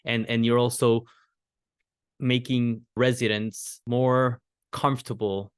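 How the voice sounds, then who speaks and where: A man's voice: mid-range voice, flat delivery, slow, smooth, no echo. Earnest male podcast host, dry sound. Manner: earnest, opinionated. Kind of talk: casual podcast conversation.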